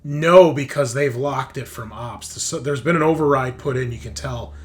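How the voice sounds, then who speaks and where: A man's voice: medium pitch, normal speed, faint music behind. A man acting as game master, switching between scene narration and casual talk about the rules.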